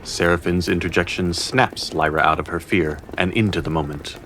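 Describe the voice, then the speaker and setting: A man's voice: sits low, normal speed, a bit bright, slight background noise, low-quality recording. A man narrating a fantasy audio drama as a solemn storyteller, in scripted dramatic style. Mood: measured.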